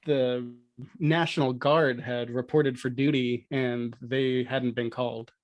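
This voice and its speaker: A man's voice: mid-range voice, flat delivery, takes it slowly, dull audio. A man chatting casually as a participant on a podcast. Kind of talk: casual chat.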